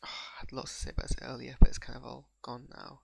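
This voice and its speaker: A man's voice: mid-range voice, completely flat delivery, fast, vocal fry, warm tone, husky, no echo. A young man whose accent mixes Bradford and Pakistani speech, giving a hesitant, unscripted reaction review and often repeating words as he gathers his thoughts. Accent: mixed Bradford and Pakistani. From England. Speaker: video reviewer.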